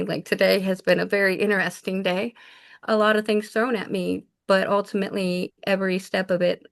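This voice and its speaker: A woman's voice: fairly low pitch, gravelly. A woman raised in Japan, a shaman guest in an interview, telling her life story in an earnest, reflective way. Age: adult mother of four. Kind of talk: casual interview talk.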